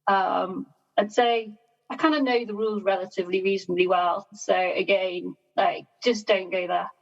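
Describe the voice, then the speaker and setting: A woman talks calmly and modestly as a podcast guest, laughing between her points. Her voice has a slight fry to it. The recording is a little muffled. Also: medium pitch. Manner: measured, self-deprecating, laughs often. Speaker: sailing guest. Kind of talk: casual podcast interview. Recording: dull lo-fi sound.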